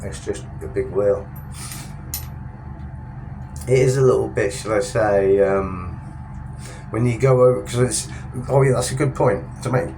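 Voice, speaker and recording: A man's voice: fairly low pitch, measured pace, pauses often, warm tone, faint music behind, rough recording. Stuffy-nosed man rambling to camera. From England. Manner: rambling, chatty, self-correcting. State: stuffed-up nose, sniffly. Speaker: travel vlogger. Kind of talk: casual vlog narration. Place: inside a Ferris wheel cabin at night.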